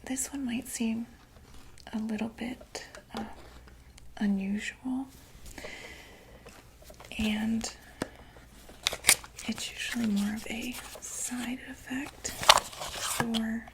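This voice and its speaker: A woman whispering an ASMR medical roleplay as a doctor, gently reassuring and checking in with the listener. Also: a little high, steady tone, very slow, long pauses, very noisy.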